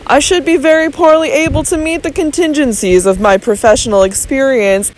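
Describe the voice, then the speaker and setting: A woman reading the part of a calm, deliberate male physician in an audiobook, quiet and measured. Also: high voice, voice pushed up, lightly acted, rough recording. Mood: probing.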